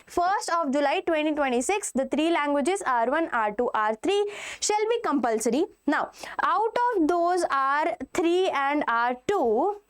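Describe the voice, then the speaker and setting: High-voiced Indian woman teacher explaining. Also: expressive, measured pace. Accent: Indian English. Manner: addresses students directly, checks in with "okay" and "right". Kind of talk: explaining a school policy update to students.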